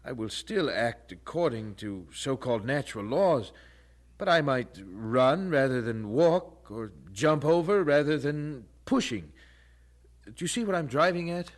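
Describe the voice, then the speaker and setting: A man's voice: mid-range voice, expressive, unhurried, natural voice. An actor playing a devout young male student, thoughtful and intense, with formal, earnest phrasing in an audio drama. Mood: earnest, pondering. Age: young adult.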